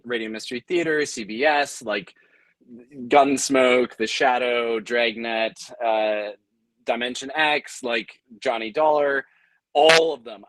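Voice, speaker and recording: A man with a mid-pitched, bright, forward voice and very little room sound. He talks casually as a panelist, wryly self-deprecating, and repeats phrases for emphasis. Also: no room sound, low-quality recording. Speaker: panelist, audio drama producer and company founder. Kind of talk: casual, anecdotal panel talk.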